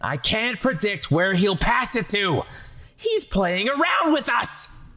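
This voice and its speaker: A man's voice: high-pitched, sing-song, slow pace, pushed and bright, pitched above the performer's own voice, full character voice. A man voicing an arrogant, hot-tempered teenage boy volleyball ace in a manga dub, sneering and scornful. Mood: frustrated, angry.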